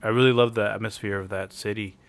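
A man's voice: on the low side, steady tone, fast talker, creaky. A man who produces a podcast, chatting in a laid-back, slangy way, full of "like" and casual swearing.

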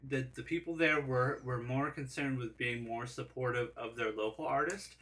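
A man's voice: mid-range voice, moderate pace, a bit bright, rough recording. A man hosting a casual podcast, talking candidly and self-deprecatingly about his painting shows, swearing now and then. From Southern California. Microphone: single shared podcast mic.

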